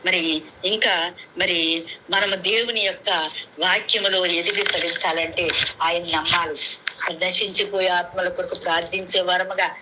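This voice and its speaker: A woman's voice: on the low side, steady tone, measured pace, pauses often. A woman preaching earnestly to a prayer meeting over a conference call, repeating phrases for emphasis. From Andhra Pradesh, India. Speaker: lay preacher. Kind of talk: sermon, retelling scripture to a prayer meeting. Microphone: conference call phone. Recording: noisy conference call recording.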